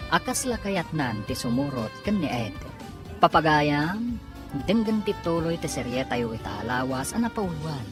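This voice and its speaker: A woman announcing and narrating a radio drama in a scripted, dramatic style. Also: low voice. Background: loud music.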